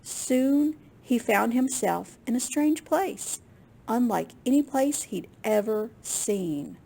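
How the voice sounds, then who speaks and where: A woman with a slightly husky, gravelly voice reading a picture book aloud to young children in an expressive way. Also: fairly high pitch, takes it slowly. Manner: expressive, with gasps and questions to young listeners. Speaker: children's librarian storyteller.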